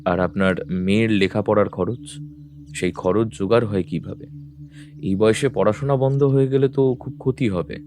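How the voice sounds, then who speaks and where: A composed private detective played by a male actor speaks in a fairly low voice. He is courteous, measured and authoritative, as in an audio mystery drama, with faint noise behind him. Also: a little mellow, close to an everyday voice. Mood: calm, probing. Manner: measured, thoughtful, formal.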